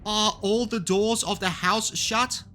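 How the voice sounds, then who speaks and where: A man's voice: high voice, fairly even intonation, slow pace, pushed and bright, voice pushed up, heavily acted. A man voicing a terrified, hunted man in an audiobook, frantic, wailing and pleading. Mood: fearful, hushed dread. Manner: shrieking, wailing, desperate. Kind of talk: dramatised audiobook character voice.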